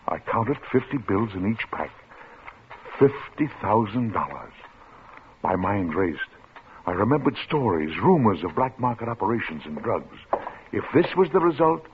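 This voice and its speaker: A man's voice: fairly low pitch, slight background noise. An actor playing a timid middle-aged bookkeeper who narrates his own story, hesitant and stammering, in old-time radio drama style. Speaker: narrator and lead. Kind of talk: 1940s radio acting. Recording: tinny old radio broadcast with music.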